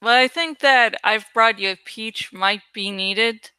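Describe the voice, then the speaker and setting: A woman's voice: on the high side, a little slow, bright, forceful tone, clear, resonant tone, no echo. A woman chatting casually and thinking aloud while she works, rambling, swearing and sometimes searching for words. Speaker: online creator and host. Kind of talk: casual rambling chat while working on a graphic.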